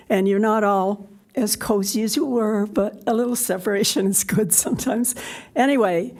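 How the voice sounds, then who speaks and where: A woman steps up to the podium microphone in a city meeting room and speaks her mind bluntly. Her manner is salty and a little scolding. Her voice sits at a middle pitch. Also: a little mellow. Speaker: public commenter at a city committee meeting. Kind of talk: public comment at a podium.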